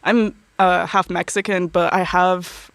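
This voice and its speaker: A woman's voice: on the low side, fairly even intonation, a little slow, clear, resonant tone, no room sound. A young woman, a university student, chatting casually as an interview guest, full of fillers and easy laughter. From United States.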